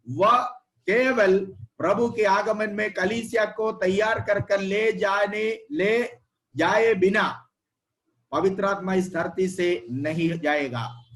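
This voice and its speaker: A man with a high voice leads a Bible study over an online call. He repeats key words and prompts his listeners as he teaches. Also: fairly bright tone. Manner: repeats words, prompts the reader, checks if heard.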